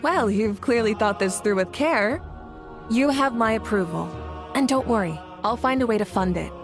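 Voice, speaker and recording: A woman's voice: a little high, animated, moderate pace. An actress voicing a confident, easygoing female leader in a video game, assured and informal in her reassurance. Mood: approving, relaxed. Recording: background music under dialogue.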